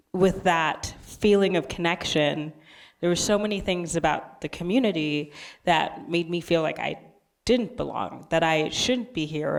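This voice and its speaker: A woman raised between Zambia and the United States talks to an audience. Her voice sits low and crackles with vocal fry. She is earnest and reflective, speaking from personal experience as a panelist.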